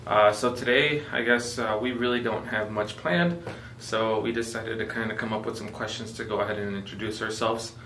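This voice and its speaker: A man in his twenties from Mexico, co-hosting a casual vlog chat, relaxed and full of fillers. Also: on the low side, normal speed, few pauses, reverberant, distant mic, a little hiss or hum, low-quality recording. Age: 20s. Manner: relaxed, filler-heavy, joking. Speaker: vlogger co-host.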